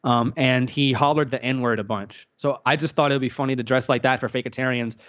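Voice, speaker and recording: A man's voice: medium pitch, steady tone, a little fast, a bit bright. Guy rambling and cracking crude jokes on a stream, muffled lo-fi audio. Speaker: podcast guest. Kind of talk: casual livestream chat. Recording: dull lo-fi audio.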